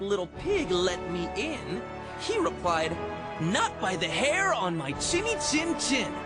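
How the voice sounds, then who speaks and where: Slow narrator reading story rhymes. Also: medium pitch. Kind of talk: scripted rhyming storybook narration.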